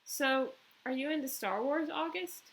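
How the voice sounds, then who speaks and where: A woman's voice: high voice, a little slow, a bit bright, pitched above the performer's own voice. A woman reading a children's book aloud, voicing a cheerful, encouraging female schoolteacher who speaks fondly to her class. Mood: cheerful, brisk.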